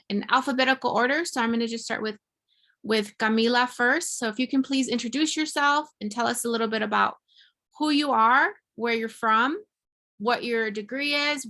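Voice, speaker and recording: A woman hosting an online panel informally, speaking in a halting, enthusiastic way as if to participants on a video call. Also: a little high, fairly bright tone. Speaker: panel host, career office staff. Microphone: computer mic on video call.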